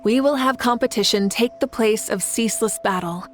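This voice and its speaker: An actress playing a confident, composed heroic leader with a playful side in a video game, speaking in an assured, wry manner. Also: female voice, on the high side, normal speed, close to an everyday voice. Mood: earnest, assured. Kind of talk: video game character dialogue. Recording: music behind the voice.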